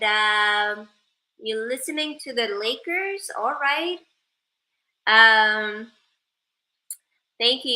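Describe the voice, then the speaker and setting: Slow, bright-voiced young woman streaming live. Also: fairly high pitch, rough recording. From Sweden. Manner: slow, joking, playful, reads viewer comments. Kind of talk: casual livestream chat with viewers.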